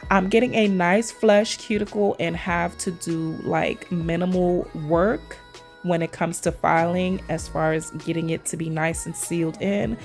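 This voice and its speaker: Woman from Trinidad, thirties, low voice with a gravelly edge, chatty voice-over, throat still a bit scratchy. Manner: chatty, addresses viewers as "y'all" often. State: recovering voice, slight throat tickle. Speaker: nail vlogger.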